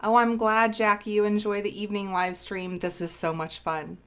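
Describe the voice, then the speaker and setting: A woman's voice: medium pitch, level tone, moderate pace, a bit bright, clean tone. A woman hosting a casual craft live stream, bubbly and sniffly from a cold, greeting viewers by name.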